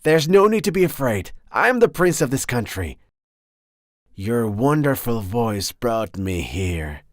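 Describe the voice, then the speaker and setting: An actor voicing a gallant, devoted young fairy-tale prince in a cartoon, earnest and romantic. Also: male voice, mid-range voice, big pitch swings, slow pace, dry recording, studio quality. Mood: reassuring. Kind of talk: cartoon character acting.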